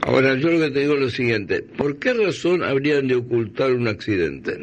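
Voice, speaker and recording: A man's voice: mid-range voice, big pitch swings, a little fast, slight background noise. A man with an Argentine accent hosting a radio interview, probing the guest and cutting in with questions. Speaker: radio host.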